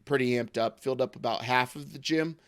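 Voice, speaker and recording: A hoarse American man in his thirties talking sports on a podcast, loose and rambling, full of ums and quick jokes. His mid-pitched voice is recorded close and dry, with no room sound at all. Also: no room sound. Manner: rambling, filler-heavy, joking. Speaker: podcast co-host. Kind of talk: casual sports chat.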